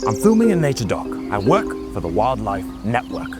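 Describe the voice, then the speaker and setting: A man's voice: lowish voice, huge pitch swings, brisk, music in the background. A man playing a self-important nature documentary presenter, in a mock documentary style. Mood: boastful. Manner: pompous, theatrical. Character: pompous, self-important male nature documentary host, affected accent.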